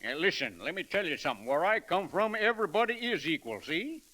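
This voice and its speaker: A man's voice: high voice, very animated, fast, bright, forceful tone, full character voice. An actor playing a boisterous, folksy American frontier man in slangy 1930s radio comedy style, on an old recording. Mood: folksy, insistent.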